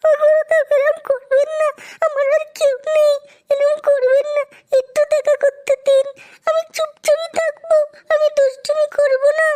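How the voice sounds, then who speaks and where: Casting: tiny childlike cartoon ghost, extremely high squeak, eager, whiny, pleading. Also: little pitch movement, measured pace, a little mellow. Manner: whiny, pleading, repeats words. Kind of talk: Bengali comedy cartoon dubbing.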